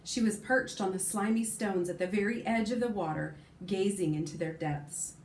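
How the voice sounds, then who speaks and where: A woman teacher reading a novel aloud to her class, expressive and pausing to comment or correct herself. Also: mid-range voice, echoey room, slight background noise.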